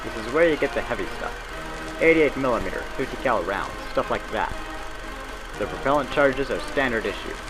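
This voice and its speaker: A man's voice: mid-range voice, expressive, rough recording. Man narrating like a 1940s training film, music behind. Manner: brisk, instructive, folksy period phrasing.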